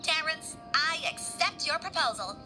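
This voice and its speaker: A woman's voice: sits high, animated, measured pace, music in the background. A woman voicing a vain, greedy, dreamy young daughter in a children's fairy tale, with a gushing, theatrical manner. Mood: smitten, haughty. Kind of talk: children's animated storybook acting.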